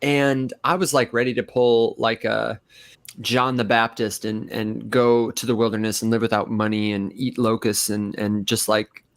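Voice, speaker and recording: A man with a nasal, reedy voice and a slight Michigan accent, co-hosting a casual chat and telling animated stories with frequent laughter. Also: lowish voice, moderate pace, no room sound. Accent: Michigan, mostly neutral American. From Las Vegas, raised in Michigan. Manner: animated storyteller, laughs often. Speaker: co-host.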